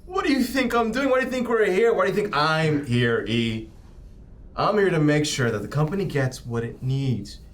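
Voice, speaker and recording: A man playing an oily, menacing corporate agent in a tabletop game, cajoling and slipping into hushed threats. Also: on the high side, sing-song, moderate pace, slight background noise. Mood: indignant, bullying. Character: slick, menacing male corporate agent, bribing and threatening crew. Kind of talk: improvised tabletop roleplay acting.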